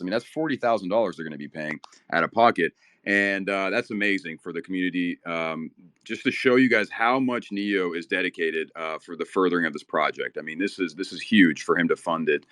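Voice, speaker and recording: Low-voiced man rambling through a host wrap-up. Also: dry recording, clean studio sound. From Ukraine. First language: Russian. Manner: rambling, filler-heavy, upbeat. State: a little nervous. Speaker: host of a crypto talk space. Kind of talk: casual live audio chat.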